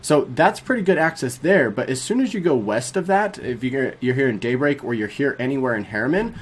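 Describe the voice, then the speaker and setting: Young male vlogger, brisk and friendly, slightly congested, recorded in a small home studio. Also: mid-range voice, lively intonation, slightly rough. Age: young adult. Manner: upbeat, fast-talking, addresses viewers as guys. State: a bit sniffly from a cold. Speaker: real estate agent. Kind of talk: casual explainer vlog.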